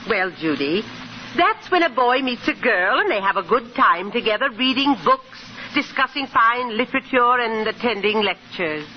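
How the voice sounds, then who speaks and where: A woman's voice: on the high side, animated, moderate pace, natural voice. An actress playing a genteel, fussy, motherly older aunt with proper diction in a 1940s radio comedy. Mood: earnest. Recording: muffled hissy 1940s radio recording.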